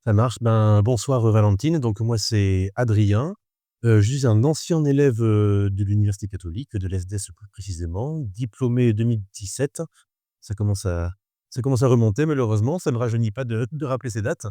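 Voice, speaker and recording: A man in his thirties who teaches wine, talking in a measured, hesitant way with many fillers in a casual podcast chat. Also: fairly low pitch, dark tone, no room sound, clean studio sound. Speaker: wine instructor.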